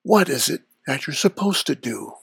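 Calm lord of darkness played by an actor: heavily husky, enigmatic, unhurried, dryly recorded, for audio comedy. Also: medium pitch, no echo, exaggerated voice. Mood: coolly probing. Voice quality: husky, breathy rasp. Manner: slow, measured, enigmatic pronouncements. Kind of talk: character interview in audio comedy.

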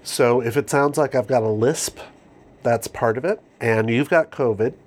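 A man's voice: medium pitch, moderate pace. A congested man hosting a book club podcast, talking in a casual, dry, self-mocking way. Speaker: podcast host.

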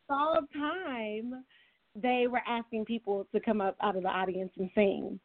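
A woman's voice: a little high, moderate pace. Woman, from california, guest singer, laughs often, casual storytelling, tinny phone line. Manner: relaxed, laughs often, tells stories. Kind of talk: casual interview chat. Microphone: phone call.

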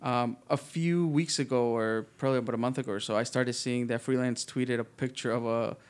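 A man's voice: medium pitch, a little fast, clean tone. Easygoing man recapping a wrestling show. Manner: relaxed, recaps from notes, laughs easily. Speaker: podcast co-host. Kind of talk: casual wrestling chat.

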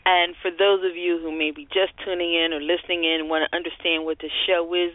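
A woman's voice: deep voice, no echo. A woman hosting a call-in internet radio show, introducing topics and guests. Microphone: phone. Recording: dry, tinny phone line.

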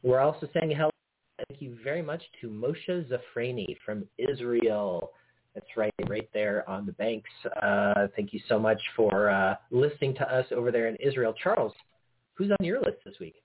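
A man's voice: mid-range voice, normal speed, husky. A man co-hosting a podcast, chatting casually and easygoing, with frequent fillers and chuckles. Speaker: podcast co-host. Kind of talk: casual chat. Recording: tinny phone-like audio.

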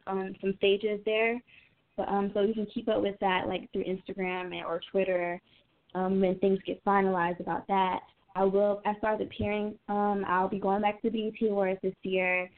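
A woman of twenty-one answering interview questions casually, thinking aloud in a youthful, informal way. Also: mid-range voice. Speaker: singer being interviewed as guest. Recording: tinny narrow phone-line sound.

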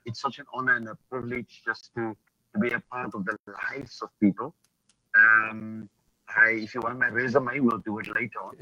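A hoarse South African man, a pastor, introducing himself on an online panel, with frequent filler pauses. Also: medium pitch, pushed and bright, dry recording, highs cut off.

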